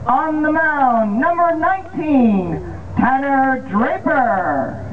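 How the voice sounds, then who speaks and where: An American woman working as a baseball public address announcer, reading scripted announcements in a measured way over an outdoor ballpark's loudspeakers. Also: high-pitched, expressive. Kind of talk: scripted PA announcing of lineups, promotions and game updates. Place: outdoor baseball field, heard over the PA system. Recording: tinny distant ballpark loudspeaker, outdoor noise.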